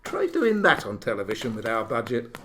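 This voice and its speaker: A man's voice: mid-range voice, sing-song, a little fast, pushed and bright, slight background noise. A man narrating a comic radio play from a script in a mock-solemn manner.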